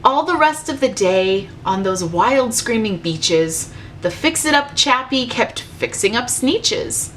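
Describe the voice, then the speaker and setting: A woman teacher reading a rhyming storybook aloud to children in a measured, rhythmic way. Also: a little high, animated, a bit bright, slight background noise.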